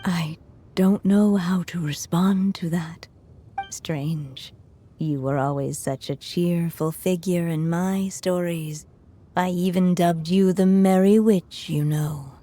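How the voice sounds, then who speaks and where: A woman playing a gentle, motherly storyteller near the end of her life in a video game, solemn, breathy and measured. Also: fairly low pitch. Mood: weary, tender. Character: dying gentle motherly storyteller, wistful and tender.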